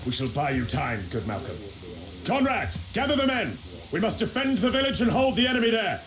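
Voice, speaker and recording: An actor playing a noble, resolute knight commander in a video game, speaking formally. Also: male voice, sits high, big pitch swings, brisk. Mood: urgent, commanding. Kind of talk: dramatic video game cutscene acting.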